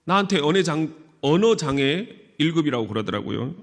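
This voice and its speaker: A man's voice: on the high side, very animated, fairly quick. A middle-aged male pastor giving a sermon in a church, speaking candidly and with self-deprecating stories. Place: church sanctuary. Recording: reverberant church hall.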